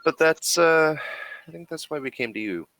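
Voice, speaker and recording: A man playing a female corporate representative in a tabletop game, halting, diplomatic, evasive and self-promoting. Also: a little high, animated, fairly bright tone, no echo, pitched above the performer's own voice. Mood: evasive, promotional.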